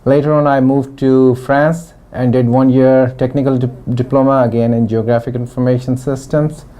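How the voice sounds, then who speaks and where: A middle-aged man from Pakistan giving a testimonial interview about his training, talking in a halting way with frequent restarts. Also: mid-range voice, flat delivery, warm tone. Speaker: former student giving a testimonial.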